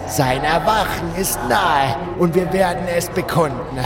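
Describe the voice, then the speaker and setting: Heavy echo and loud music surround a possessed temple guard in a fantasy audio drama. The distorted voice is fairly high and slow, proclaiming like a menacing fanatic. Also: male voice. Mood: fanatical, threatening. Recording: echoing, loud music underneath.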